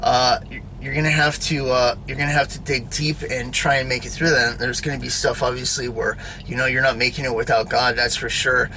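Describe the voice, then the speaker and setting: A man's voice: mid-range voice, little pitch movement, brisk, bright, forceful tone, a little hiss or hum, rough recording. A man giving a casual, rambling spoken sermon, often checking in with "all right?" and "you know".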